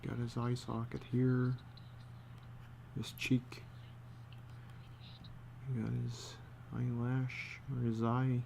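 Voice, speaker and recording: A man giving a casual think-aloud commentary while he draws, muttering to himself in short, halting phrases. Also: medium pitch, completely flat delivery, gravelly, darkish tone. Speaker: storyboard artist giving a drawing demonstration. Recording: faint background noise.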